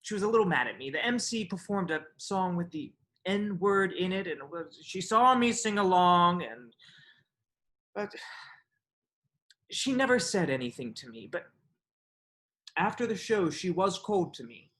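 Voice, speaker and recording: A man's voice: high-pitched, sing-song, a little slow, pauses often, a bit bright, full character voice. A man acting a defensive, self-justifying character in a live staged reading, explaining earnestly and hesitantly. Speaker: actor in a virtual staged reading. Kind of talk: live staged play reading, conversational monologue. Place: indoors, streamed over video webinar.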